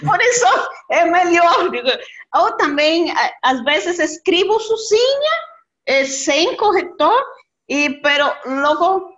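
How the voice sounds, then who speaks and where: A middle-aged woman with a Costa Rican Spanish accent, a language student chatting haltingly as she searches for words on a video call. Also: very high voice, big pitch swings, a bit bright. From Costa Rica. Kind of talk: casual lesson conversation. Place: indoor room, video call. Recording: dull lo-fi video-call audio.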